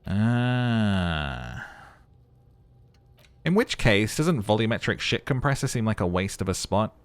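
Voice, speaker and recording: A man's voice: lowish voice, very animated, slow, clear, resonant tone, no room sound. A man hosting a video game playthrough, mixing casual muttered commentary with dramatic reading of game text. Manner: thinks aloud, muttering and humming to himself.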